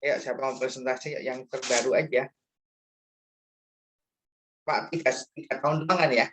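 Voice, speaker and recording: A man's voice: mid-range voice, steady tone, moderate pace, fairly bright tone, a little hiss or hum. A man lecturing in an informal, chatty way, often checking in with questions during an online class discussion.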